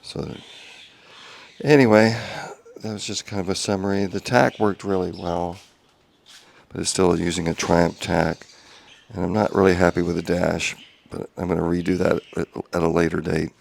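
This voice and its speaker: Male hobby car restorer, deep gravelly voice, flat monotone delivery, quiet and halting. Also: slight background noise. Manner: quiet monotone talk with many ums and restarts. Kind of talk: casual workshop update video. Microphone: small clip-on wireless mic.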